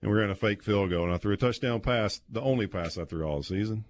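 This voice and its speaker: A low-pitched man. He talks like a radio guest swapping old stories, taking his time and pausing as he goes. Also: a little hiss or hum. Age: 50s. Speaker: guest, former college quarterback. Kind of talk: casual sports radio storytelling. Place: small padded radio studio. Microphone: close studio microphone with headset.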